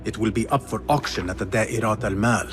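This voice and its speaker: A man's voice: on the low side, brisk, lightly acted. Determined male assassin played by an actor over music. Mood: focused. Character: determined, watchful male assassin on a secret mission. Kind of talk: dramatic video game cutscene acting.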